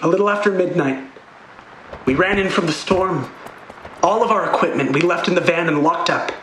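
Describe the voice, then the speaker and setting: An actor playing a frightened musician who haltingly recounts a horror under questioning in an audio play. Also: male voice, sits high, fairly bright tone. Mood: uneasy, haunted. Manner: halting, repeats phrases. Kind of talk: dramatic audio play monologue. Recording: roomy echo, faint background noise.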